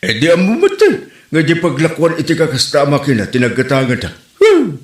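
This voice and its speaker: A man's voice: on the high side, huge pitch swings, fairly quick. A man with an Ilocano accent playing a teasing, mocking villager in a comedy drama. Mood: teasing, amused. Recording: roomy, echoey sound.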